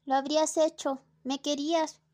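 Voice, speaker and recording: A young woman plays a clingy, melodramatic wife in a student radio play. Her voice sits very high, and her delivery is theatrical and pleading. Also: little pitch movement, moderate pace, mellow, close to an everyday voice. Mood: sulky. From Chile. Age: young adult. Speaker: student radio drama actor. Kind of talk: amateur radio drama acting.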